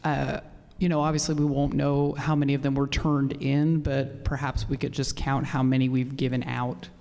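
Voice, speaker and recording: A city staff member answering questions at a public meeting in a measured way. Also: mid-range voice, flat delivery, gravelly, darkish tone. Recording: reverberant hall.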